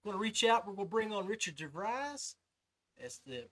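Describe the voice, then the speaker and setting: A man with a notably high-pitched voice hosts a casual podcast conversation. He talks in an informal, folksy way, like someone chatting with friends. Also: big pitch swings, a little fast, a bit bright. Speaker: podcast host. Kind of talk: casual interview chat.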